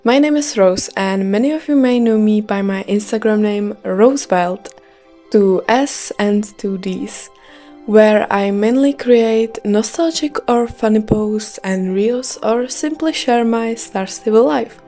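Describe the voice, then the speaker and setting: A woman's voice: medium pitch, a little slow, dark tone, faint music behind. A young Czech woman with a light Czech accent, reading a scripted gaming video with care. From Czech Republic. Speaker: gaming YouTuber.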